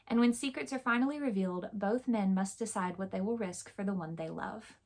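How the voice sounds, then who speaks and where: A woman with a hoarse, scratchy throat, chatting casually and enthusiastically about the books she bought, with plenty of fillers. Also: mid-range voice, fairly quick, darkish tone. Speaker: book vlogger. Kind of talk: casual chat showing a book haul.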